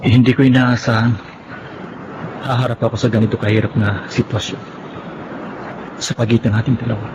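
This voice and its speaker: An actor with a Filipino accent playing a torn young lover in a radio drama, earnest and heavy-hearted. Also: male voice, medium pitch, flat delivery, brisk, close to an everyday voice. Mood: pained. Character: Arman, torn, heartbroken young man in love. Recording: dull radio audio, clear background noise.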